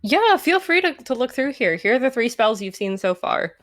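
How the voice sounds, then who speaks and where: A woman's voice: a little high, big pitch swings, moderate pace, fairly bright tone. A woman chatting casually and giving commentary as a guest on a game stream. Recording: music behind the voice.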